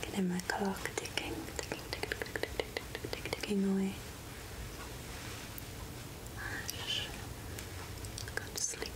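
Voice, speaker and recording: Kindly forest witch, played by a woman: hushed whisper, unhurried, soothing, ASMR roleplay. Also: mid-range voice, monotone, lightly acted, poor audio. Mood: lulling, tender. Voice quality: breathy whisper and soft speech. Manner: slow, hushed, soothing, repeating words. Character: kindly woodland witch healer, soothing and mystical.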